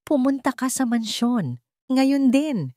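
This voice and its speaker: A woman's voice: high-pitched, sing-song, slow, warm tone, dry recording, clean studio sound, voice pushed up, exaggerated voice. A woman voicing a cold, domineering old billionaire father in a story, commanding and contemptuous. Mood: curt, commanding.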